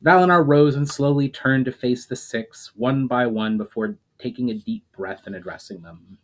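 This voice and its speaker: A man reads his own fantasy novel aloud at a measured pace. His voice sits at an ordinary middle pitch. Now and then he stops to correct a word. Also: poor audio. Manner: measured storytelling with occasional self-corrections.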